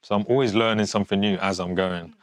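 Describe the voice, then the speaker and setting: A young man in his twenties with a fairly low voice. He talks off the cuff, candid and matter-of-fact, like a designer chatting to a documentary camera. The sound is close and dry. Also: fairly even intonation, quick pace, dry recording. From England, born in Yorkshire with family from Pakistan. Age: 20s. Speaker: fashion designer, documentary subject. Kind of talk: casual, unscripted talk to camera.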